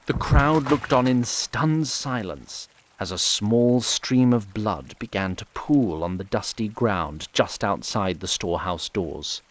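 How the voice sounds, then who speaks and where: A man's voice: fairly low pitch, animated, slow pace, few pauses, a little hiss or hum, low-quality recording. A man narrating a scripted fantasy audio drama in a literary, wry storytelling manner.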